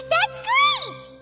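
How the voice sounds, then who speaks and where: A tiny floating fairy sidekick from a game, extremely high and squeaky like a small child. She is excitable and naive, swooping through drawn-out exclamations over prominent music. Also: exaggerated voice. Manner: exclamatory, earnest, astonished. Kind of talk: scripted video game voice acting.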